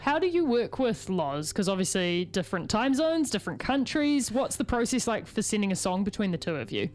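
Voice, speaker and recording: A woman with a New Zealand accent hosting a radio interview in the studio, chatty and quick to laugh. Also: medium pitch, sing-song, darkish tone. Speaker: radio host.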